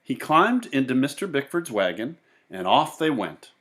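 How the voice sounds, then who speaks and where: A man reading a picture book aloud in an expressive, deliberate way, with casual asides. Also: medium pitch. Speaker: children's book reader.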